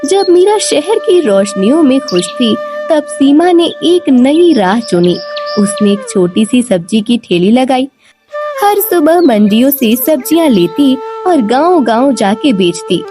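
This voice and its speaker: A woman's voice: sits high, big pitch swings, unhurried. A woman reading scripted narration for a moral story as its storyteller. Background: background music.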